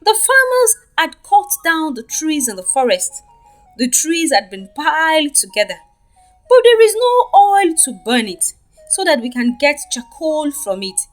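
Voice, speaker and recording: A woman's voice: high-pitched, big pitch swings, a little slow, faint music behind, pitched above the performer's own voice. A woman voicing a desperate folktale villager, pleading and wailing before a king.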